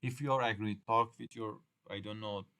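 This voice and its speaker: A middle-aged man from Iran with a Persian accent, a native Persian speaker, lecturing students at an English exam workshop. He talks haltingly, restarting and repeating phrases, with uneven grammar. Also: fairly low pitch, steady tone, pushed and bright, clean tone.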